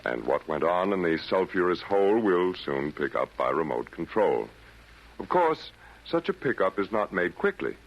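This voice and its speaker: Male radio announcer, low voice, theatrical scripted narration, formal, muffled vintage 1940s broadcast. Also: big pitch swings, moderate pace.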